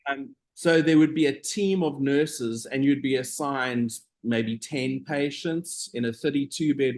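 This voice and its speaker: A middle-aged man from South Africa, a nurse being interviewed, talking in a relaxed, meandering way with frequent pauses. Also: mid-range voice. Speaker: guest, operating room nurse. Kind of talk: casual interview conversation.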